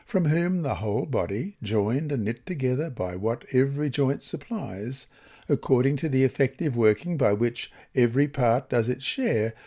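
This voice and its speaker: A man reads a scripted religious lesson in a deliberate, expressive manner with measured phrasing. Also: medium pitch, breathy edge. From Australia. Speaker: reader of Bible study lessons.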